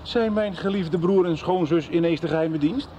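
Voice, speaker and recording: An actor playing a surly, sneering, defensive husband in a Dutch radio soap. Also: male voice, fairly high pitch, moderate pace, dark tone, a little hiss or hum, close to an everyday voice.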